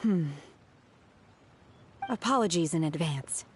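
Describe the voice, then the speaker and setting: An actress playing a calm, aloof young woman in a video game, speaking in a measured, formal, matter-of-fact deadpan. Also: on the low side, background noise. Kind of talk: video game dialogue acting.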